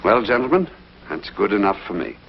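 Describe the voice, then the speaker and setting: Hesitant polite american govt official, very animated pitch, old muffled tv drama sound. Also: male voice, vocal fry. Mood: measured, courteous. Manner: halting, deliberate, formal and polite. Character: hesitant, courteous government official, a cabinet secretary. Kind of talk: mid-century American TV drama acting. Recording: dull, noisy vintage TV soundtrack.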